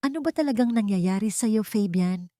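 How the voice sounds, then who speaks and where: A woman's voice: medium pitch, animated, moderate pace, mellow, no echo, studio quality, voice pushed up, lightly acted. A woman voicing a poor young mother in a radio drama, questioning and emotional, suspicious and defiant. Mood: worried. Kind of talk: Filipino radio drama acting.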